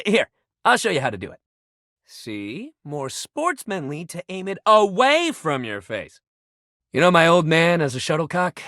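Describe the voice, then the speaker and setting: A sporty, upbeat young dude from a video game, pitch leaping all over the place. He talks like a chummy coach full of slang, in a very dry, close recording. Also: a bit bright, dry recording, exaggerated voice. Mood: wistful, reflective. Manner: slangy, buddy-like coach pep talk. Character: upbeat, sporty young badminton-loving dude, animated shuttlecock. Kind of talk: video game character voice acting.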